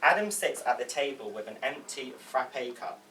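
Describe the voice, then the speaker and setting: A man's voice: medium pitch, very animated, normal speed, bright, forceful tone. A man reading script stage directions aloud, stumbling a little over words. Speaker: stage directions reader at a table read. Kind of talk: read-aloud script narration. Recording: distant mic, faint room noise.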